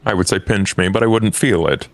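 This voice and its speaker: A man playing a dry-witted, courteous vampire fixer in a tabletop roleplay, deliberate and deadpan. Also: low-pitched. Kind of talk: tabletop roleplay actual play.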